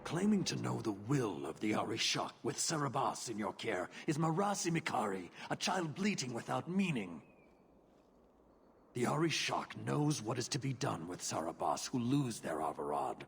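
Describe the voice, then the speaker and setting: An actor playing a stern, zealous warrior-enforcer in a fantasy video game, speaking formally and with command. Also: male voice, mid-range voice, big pitch swings, moderate pace, creaky, a little hiss or hum. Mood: cold, commanding. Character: stern, zealous adult male warrior-enforcer of a rigid creed.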